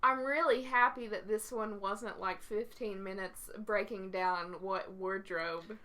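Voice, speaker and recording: Bright-voiced woman in casual reaction chat. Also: mid-range voice, steady tone, measured pace. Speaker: reaction video co-host.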